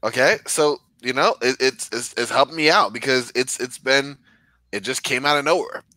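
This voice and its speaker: A man's voice: medium pitch, moderate pace, pushed and bright, no room sound. A man co-hosting a podcast with a case of the sniffles, talking in defensive, exasperated banter. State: stuffy with sniffles. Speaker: podcast co-host. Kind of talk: casual banter. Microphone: headset.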